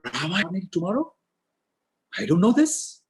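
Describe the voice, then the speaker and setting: A man's voice: on the high side, animated, a little slow, darkish tone, voice pushed up. A man voicing Manthara, a scornful hunchbacked old servant woman. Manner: scolding, insistent.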